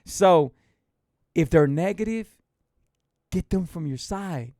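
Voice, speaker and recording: A man's voice: on the high side, slow, no echo, clean studio sound. A man nearing fifty gives casual, rambling motivational talk to his live viewers, repeating himself and urging them on. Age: 40s. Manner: rambling, repetitive, animated and encouraging. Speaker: motivational speaker.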